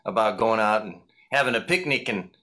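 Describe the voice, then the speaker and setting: A man's voice: lowish voice, expressive, fairly quick, bright, forceful tone. A middle-aged man with a Michigan accent, a guest musician chatting loosely in an interview, rambling and chuckling. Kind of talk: casual interview chat.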